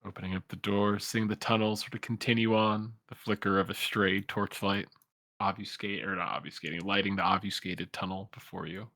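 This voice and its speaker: A man with a fairly low voice and a bright, forceful edge runs a tabletop game, narrating scenes and calling for checks. His delivery is easygoing and amused. The sound is dry and close. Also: a little fast, no room sound. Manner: relaxed descriptive narration, laughs often. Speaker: game master.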